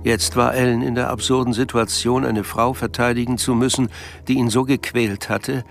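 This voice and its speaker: Guy narrating a radio drama, literary storytelling, middle pitch, music under it. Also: level tone, moderate pace, vocal fry.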